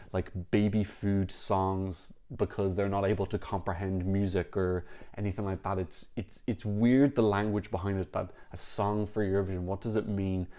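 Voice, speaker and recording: Low-voiced man giving blunt opinions. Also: a little mellow. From Ireland. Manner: frank, critical, talks in quick bursts with restarts. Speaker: Eurovision reaction vlogger. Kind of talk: opinionated casual commentary to camera.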